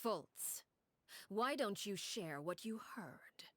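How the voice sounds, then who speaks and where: A woman playing a cold, commanding head of an orphan house in a video game, measured and menacing. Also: medium pitch, expressive, dry recording. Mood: stern.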